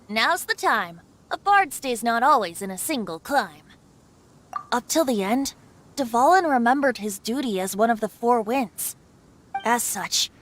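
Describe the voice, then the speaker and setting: Youthful male bard god, video game. High voice, playful, philosophical, faint noise behind. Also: a bit bright. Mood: breezy, then reflective. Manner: playful, breezy, sometimes wistful.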